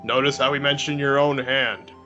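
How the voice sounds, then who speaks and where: A man's voice: medium pitch, fairly even intonation, moderate pace, pushed and bright, dull audio. A man co-commentating on a video game in an informal, joking, chatty way. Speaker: let's play co-commentator. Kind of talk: casual gameplay commentary, joking chat. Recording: game music under the voice.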